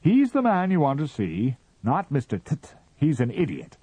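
A man's voice: a little high, wildly swooping pitch, moderate pace, dark tone, slightly rough, no room sound, voice pushed up, exaggerated voice. A man reading aloud and voicing a fussy, self-important male Martian official who lectures and sneers. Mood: dismissive. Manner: peevish, lecturing, sarcastic.

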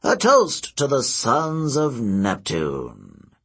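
A man voicing a wicked, gloating blind old male seer king with a husky, drawn-out delivery. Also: huge pitch swings, full character voice. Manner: slow, sneering, swings wildly in pitch.

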